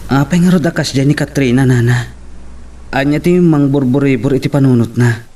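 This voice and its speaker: An actor voices an earnest young man troubled over his sweetheart. His mid-pitched voice is sincere and subdued, acted in the style of a radio drama. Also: moderate pace, warm tone, lightly acted. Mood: concerned.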